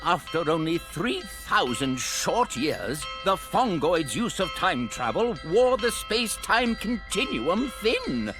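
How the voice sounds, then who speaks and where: A genial old scientist host. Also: male voice, sits high, big pitch swings, takes it slowly, few pauses, over music, exaggerated voice. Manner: bumbling, chuckling, fussy with fillers. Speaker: host of an instructional recording. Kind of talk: video game cutscene acting. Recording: game score playing behind.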